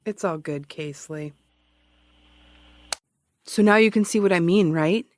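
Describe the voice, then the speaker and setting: An actress playing a cocky, sarcastic amateur investigator and broadcaster who teases and rambles defensively in an audio comedy-drama. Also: female voice, lowish voice, a little slow, a little mellow, lightly acted. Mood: defiant, confiding. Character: cocky, needling amateur investigator and radio broadcaster, adult woman. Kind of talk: naturalistic audio fiction comedy-drama acting.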